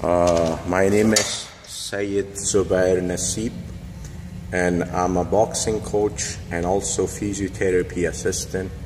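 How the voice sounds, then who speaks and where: A deep-voiced man from Afghanistan talks slowly into a phone held up in a gym mirror. He hesitates often, fills gaps with "uh", and his English carries phrasing. The big gym room adds a long reverb and a faint hum behind him. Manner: hesitant, many fillers, non-native phrasing. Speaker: boxing coach. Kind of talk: casual personal storytelling to camera. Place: large indoor gym. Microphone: phone held in mirror selfie.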